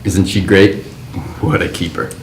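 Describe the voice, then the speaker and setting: A man playing an exuberant, slangy 1950s hipster writer who talks in jazzy, animated bursts. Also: low-pitched, measured pace, a little hiss or hum. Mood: jazzy, excited. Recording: roomy echo.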